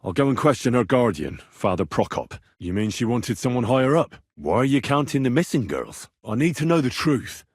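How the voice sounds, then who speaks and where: A stubborn young investigator in a video game. His voice is slightly rough and moves freely up and down as he probes with earnest, plain-spoken questions. The sound is dry and close, with no room around it. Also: medium pitch, big pitch swings, moderate pace, few pauses, no room sound. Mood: businesslike. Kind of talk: video game character dialogue.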